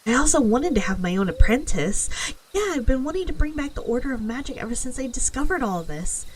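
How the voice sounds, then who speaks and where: A woman's voice: sits high, slight background noise. A woman playing a friendly, confident witch who chats reassuringly to the listener in an ASMR roleplay. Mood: eager, inviting. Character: friendly, confident female forest witch, eager mentor.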